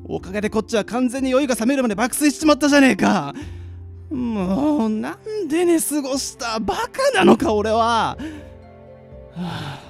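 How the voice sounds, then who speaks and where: A woman playing an irritable, hungover male office worker in a radio drama, muttering in rough slang and exasperated. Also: a little high, very animated, normal speed, faint music behind. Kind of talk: amateur radio drama acting.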